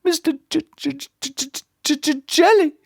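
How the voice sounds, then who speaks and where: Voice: very high voice, fairly even intonation, moderate pace, fairly bright tone, dry recording, clean studio sound, soft background music, pitched above the performer's own voice. A storyteller voicing a tiny, timid male jelly creature in a shrieking, melodramatic, stammer-prone way. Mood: nervous stammering.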